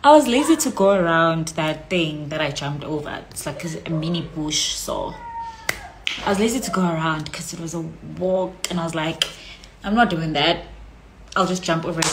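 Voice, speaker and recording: A woman's voice: on the low side, animated, normal speed, background noise. A young South African woman chatting casually on a phone live stream from a small room, reading out comments with a playful manner that drops into whispers. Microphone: phone at arm's length.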